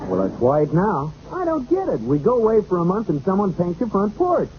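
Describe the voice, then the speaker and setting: An American actor playing a grumbling, easily riled suburban husband in an old radio comedy. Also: male voice, high-pitched, fairly quick. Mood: puzzled. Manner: grumbling, animated. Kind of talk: 1940s-50s radio comedy acting. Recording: muffled, hissy old radio recording.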